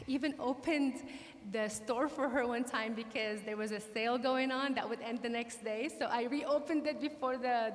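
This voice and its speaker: Woman from Syria speaking in a hall. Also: fairly high pitch. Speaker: guest speaker. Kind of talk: personal talk to an audience. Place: synagogue hall. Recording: reverberant hall.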